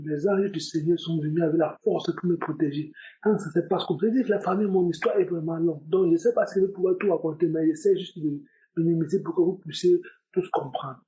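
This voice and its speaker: A man's voice: high voice, fairly quick, warm tone, muffled recording. A young man, a student, telling his religious testimony with lots of repetition and emphatic retelling. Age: young adult. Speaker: testimony giver.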